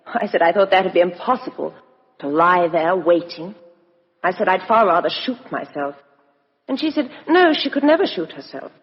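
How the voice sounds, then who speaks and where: An actress plays a brisk, self-possessed young Englishwoman in a vintage radio play. Her delivery is articulate and very lively in pitch. The recording is dull, with long reverb. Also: close to an everyday voice. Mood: insistent, puzzled. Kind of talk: 1950s British radio drama acting.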